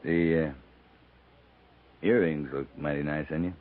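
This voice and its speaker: An actor playing a wry, fond American cavalry captain in a 1950s radio drama, dry and gently teasing. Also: male voice, extremely low pitch, steady tone, unhurried, narrow, tinny audio.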